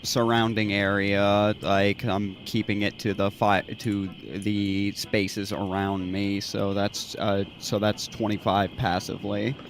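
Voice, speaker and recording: A man's voice: on the low side, flat delivery, slow, hardly any pauses, slight background noise. A man playing a tabletop roleplaying game, chatting casually and cracking jokes and puns. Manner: joking, punning.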